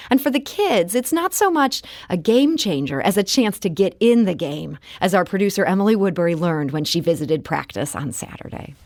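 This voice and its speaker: Low-voiced woman radio host. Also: a little mellow, dry recording, clean studio sound. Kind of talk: scripted radio introduction. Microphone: studio microphone.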